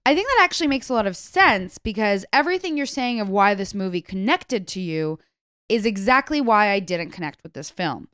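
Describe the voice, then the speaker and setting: A woman with a smooth, resonant voice talks movies in a casual chat, blunt and opinionated as she weighs her rankings. She is recorded dry and close, with no room sound around her. Her talk runs loose, with quick self-corrections along the way. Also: medium pitch, lively intonation, fairly bright tone, no room sound. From Serbian family. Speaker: film podcast co-host.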